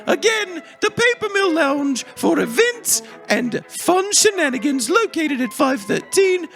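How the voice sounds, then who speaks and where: Woman playing grand female radio star, very animated high pitch, slow drawn-out delivery, playful sponsor pitch. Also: soft background music, exaggerated voice. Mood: showy, cheery.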